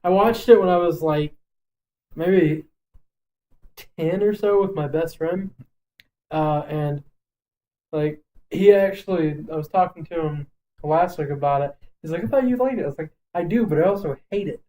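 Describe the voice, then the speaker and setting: A man co-hosting a podcast, chatting casually about movies in an opinionated, animated manner. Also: a little high, moderate pace, pauses often, poor audio. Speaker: podcast co-host.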